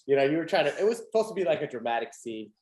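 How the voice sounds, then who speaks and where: Animated young man, echoey room. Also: a little high, very fast. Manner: animated, laughs often, many fillers. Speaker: podcast host. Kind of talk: casual chat.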